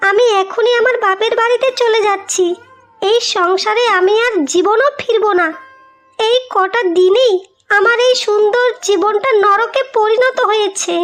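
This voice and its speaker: Woman voicing a shrilly high, haughty young wife. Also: a little slow, a bit bright. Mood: outraged. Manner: indignant, scolding, haughty. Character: vain, haughty, quarrelsome young new wife. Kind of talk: melodramatic cartoon voice acting.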